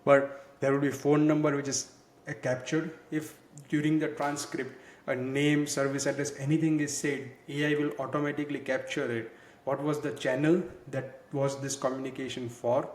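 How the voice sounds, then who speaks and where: Male presenter, medium pitch, conversational walkthrough narration, explanatory, filler words, noticeably echoey recording. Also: level tone, normal speed. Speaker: software product presenter. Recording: echoey, roomy sound.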